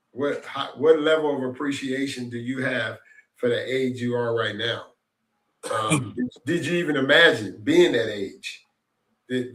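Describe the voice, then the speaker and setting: A man's voice: mid-range voice, fairly bright tone. A man in his sixties hosting a casual reflective chat, rambling and repeating himself in an upbeat way. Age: 60s. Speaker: host. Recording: echoey room.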